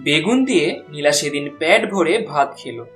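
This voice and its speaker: A woman's voice: low-pitched, expressive, brisk, faint music behind. A woman giving scripted narration for a cartoon story.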